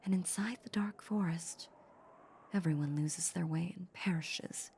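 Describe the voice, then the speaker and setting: Woman acting a low, breathy, seductive vampire mistress. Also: a little hiss or hum. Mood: quietly curious. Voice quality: breathy, often half-whispered. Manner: slow, teasing, condescending purr. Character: seductive, possessive female vampire, teasing her human captive. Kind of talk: intimate roleplay audio drama. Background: soft ambient sound effects.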